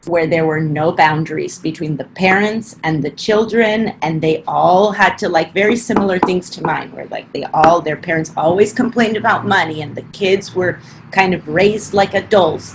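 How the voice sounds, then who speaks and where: Argentine-accented woman on a podcast, quick animated chat, quotes herself a lot, bit of faint room noise. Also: on the low side. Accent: slight Argentine Spanish. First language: Spanish. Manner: animated, quick, acts out her own past thoughts in quotes. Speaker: podcast guest. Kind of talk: casual interview chat.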